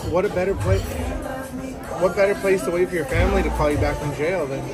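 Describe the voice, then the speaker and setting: A man's voice: sits high, quick pace, a loud crowd. Young man vlogging casually over music. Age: young adult. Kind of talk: casual vlog chat. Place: in a car, a restaurant and at home.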